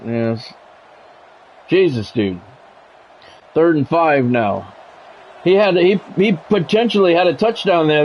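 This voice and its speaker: A middle-aged man doing sports video game play-by-play with blunt, sweary, exasperated reactions. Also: on the high side. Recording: dull lo-fi audio, faint background noise.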